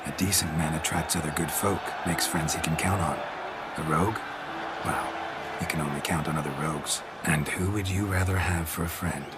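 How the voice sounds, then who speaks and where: An actor playing a stoic, gruff monster hunter in a fantasy video game, terse and deadpan. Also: male voice, rumbling low, normal speed. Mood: dry, patient. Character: stoic gruff monster hunter, adult man, dry and blunt. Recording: game music and effects behind voice.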